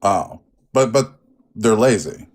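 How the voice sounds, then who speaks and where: A man's voice: lowish voice, expressive, slow, a little mellow. A man in a casual political debate, laid-back and rambling, teasing the others.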